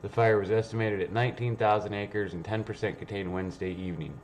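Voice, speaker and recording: A man's voice: fairly low pitch, fairly even intonation, fast, slight background noise. A man hosting a livestream, rambling casually and reading news aloud in a blunt, sweary, offhand way. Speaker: livestream host.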